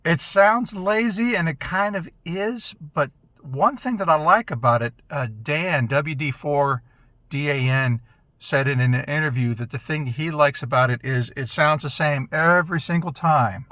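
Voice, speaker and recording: A man talking casually to camera from inside a parked car, explaining things in a relaxed, conversational way. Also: on the high side, unhurried, no echo. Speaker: vlogger, amateur radio operator. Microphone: small camera mic in the car.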